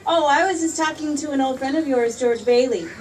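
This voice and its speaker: Actress as a high-voiced, spirited young sweetheart. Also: female voice, fairly quick, a little mellow, a little hiss or hum, close to an everyday voice. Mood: brisk. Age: young adult. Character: spirited, teasing young small-town sweetheart. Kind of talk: old-time radio play acting. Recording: distant stage mic.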